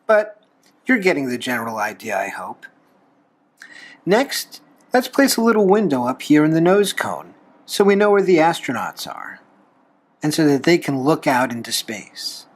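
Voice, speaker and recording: A man hosting a drawing tutorial, explaining each step in a folksy, unhurried way with pauses. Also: on the high side, big pitch swings. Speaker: host of a drawing tutorial show, reverend. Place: talking from behind a camera while drawing.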